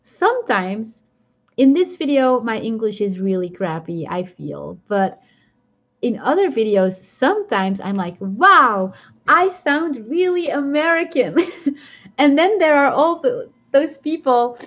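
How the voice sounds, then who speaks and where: A woman's voice: on the high side, sing-song, takes it slowly, a bit bright. A woman with a strong Belgian accent chatting casually on a live stream, rambling and laughing often as she answers viewer comments. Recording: dull lo-fi stream audio.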